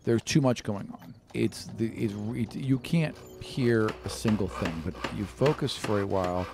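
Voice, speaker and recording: A man's voice: fairly low pitch, moderate pace, background noise. A man narrating a tabletop role-playing game as game master, improvising descriptions in a conversational way.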